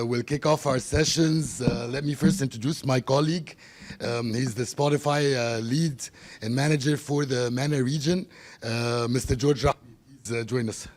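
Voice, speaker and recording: A man from Egypt, a guest speaker, giving friendly welcome remarks in a loud voice with some ums and uhs. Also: medium pitch.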